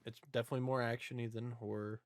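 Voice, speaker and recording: A man's voice: mid-range voice, flat delivery, racing, no echo. A man hosting a podcast, talking casually about films in an informal, joking recap style. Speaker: podcast host. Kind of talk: casual movie discussion.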